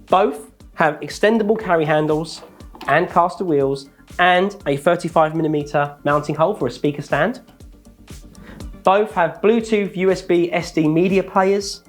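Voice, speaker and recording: A man, sounding, calmly walking through product features in a scripted, methodical presentation. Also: high voice, faint music behind. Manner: measured, methodical listing of features.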